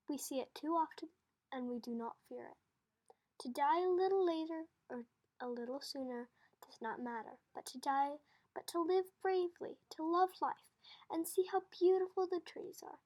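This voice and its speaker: A woman voicing a calm, wise farmer father in a storybook, teaching gently with earnest, measured, emphatic phrasing. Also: very high-pitched.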